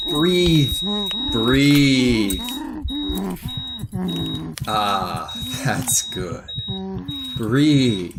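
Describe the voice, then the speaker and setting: A gloating mad surgeon in a vintage horror radio play, muttering and taunting. The delivery is slow and drawn out, swinging widely in pitch. Loud noise sits behind the voice. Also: male voice. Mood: frantic, intent, then gloating, bitter. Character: gloating mad male surgeon of the gutter transplanting hearts. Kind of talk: 1930s horror radio acting.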